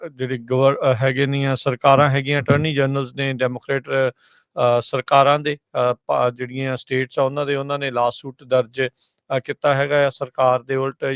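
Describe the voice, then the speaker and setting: A man giving unscripted news commentary as a radio co-host, halting and repeating filler phrases. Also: on the high side, clear, resonant tone, dry recording.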